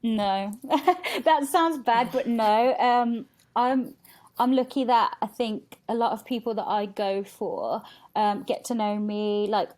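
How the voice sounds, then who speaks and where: Chatty woman podcast guest, kinda high voice, casual and candid, acts out what people say. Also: slow, darkish tone. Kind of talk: candid casual interview chat.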